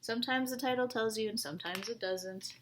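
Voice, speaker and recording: A woman reading a picture book aloud to young children in an animated way, often asking them questions. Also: fairly high pitch, fairly quick, slight background noise.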